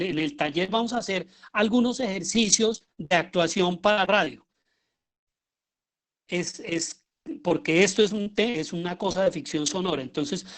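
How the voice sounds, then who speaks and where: A middle-aged man with a Colombian accent giving an informal lecture, often pausing and repeating himself as he searches for words. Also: high voice. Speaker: lecturer, radio drama director.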